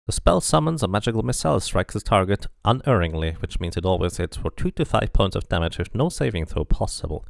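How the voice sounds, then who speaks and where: A man's voice: fairly low pitch, big pitch swings, fairly quick, creaky, hardly any pauses, dry recording, studio quality. A man giving casual game commentary, thinking aloud as he plays and reading bits of game text out. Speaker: streamer playing and narrating a game.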